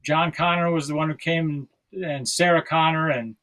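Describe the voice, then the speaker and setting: A man's voice: on the high side, steady tone, moderate pace, a bit bright. An American man in his sixties from South Dakota, talking casually and reflectively as a podcast guest, often searching for his words. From South Dakota, United States.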